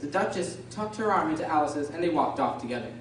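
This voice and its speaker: A woman's voice: low-pitched, lively intonation, fast talker. A woman narrating a scripted storybook radio drama. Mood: storytelling. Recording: very echoey, distant, faint noise.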